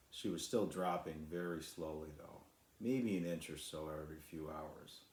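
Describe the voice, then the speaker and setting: A man's voice: sits low, darkish tone. A man narrating a children's storybook as its author, reading aloud. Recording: roomy echo.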